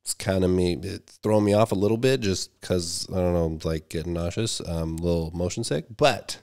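A man's voice: sits low, a little mellow, smooth. A man in his thirties with a stuffy, nasal voice, reacting with awe to a song in his home studio. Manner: awed exclamations between pauses. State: sick, nasal. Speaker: reaction video host. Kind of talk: music reaction commentary. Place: small home studio room, indoors. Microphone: studio mic on boom arm, headphones. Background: song playing.

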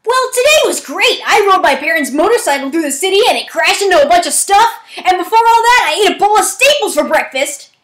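A woman's voice: extremely high pitch, lively intonation, brisk, few pauses, fairly bright tone, voice pushed up. A woman voicing a brash, boastful teenage tomboy from a cartoon, with a cocky, swaggering delivery. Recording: echoey room.

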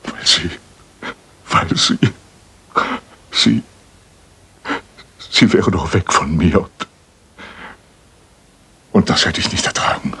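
Radio play confessor: middle-aged father, deep, heavily husky, halting, full of remorse. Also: pushed and bright, full character voice. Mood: anguished. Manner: halting, earnest.

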